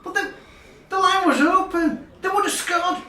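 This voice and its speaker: An actor playing an aggrieved, excitable rugby supporter in a radio play. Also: male voice, very high voice, normal speed. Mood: outraged excitement. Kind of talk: dramatic radio play retelling. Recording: echoey, distant from microphone.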